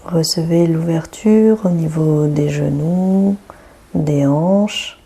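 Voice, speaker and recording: A woman guiding a yoga nidra meditation with a soft, breathy voice, giving calm, gentle instructions with long pauses. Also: deep voice. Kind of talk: guided relaxation meditation.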